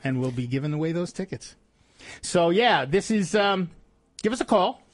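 Easygoing male radio host, slightly high. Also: normal speed. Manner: relaxed, rambling with fillers. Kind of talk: casual call-in radio chat. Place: radio studio. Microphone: studio mic with headphones.

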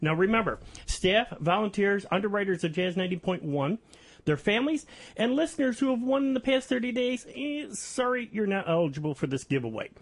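A man co-hosting a radio show, announcing a ticket giveaway in an upbeat way. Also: fairly quick. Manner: lively, upbeat giveaway pitch. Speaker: radio co-host. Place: radio studio.

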